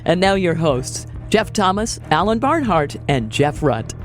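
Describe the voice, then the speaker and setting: A man's voice: high-pitched, sing-song, a little slow. A man reading a scripted podcast intro in an upbeat announcer voice. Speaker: show announcer. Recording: intro with music behind.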